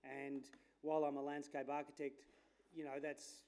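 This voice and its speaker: Aussie guy presenting at a conference, loud voice, lots of ums, easygoing. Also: fairly high pitch, completely flat delivery, normal speed, gravelly. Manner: chatty, self-deprecating, with fillers; says his voice is loud. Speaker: landscape architect giving a talk. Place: lecture room at a conference.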